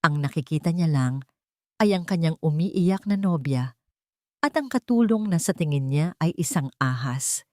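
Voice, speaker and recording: A woman's voice: deep voice, animated, normal speed, a little mellow, no echo. A woman narrating a scripted romantic drama with emotive, melodramatic storytelling. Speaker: narrator of audio love stories.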